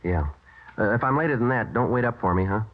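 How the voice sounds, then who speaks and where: An actor playing a terse, no-nonsense police detective in an old radio drama, speaking in a clipped, deadpan way. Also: male voice, mid-range voice, fast, lightly acted. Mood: dutiful. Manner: clipped, matter-of-fact, deadpan. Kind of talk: 1950s radio police procedural acting and narration. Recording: dull old radio recording, loud music.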